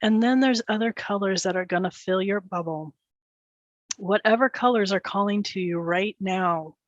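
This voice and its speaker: A woman leading a guided meditation, speaking gently and soothingly in short phrases with pauses between them. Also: medium pitch, no room sound, highs cut off.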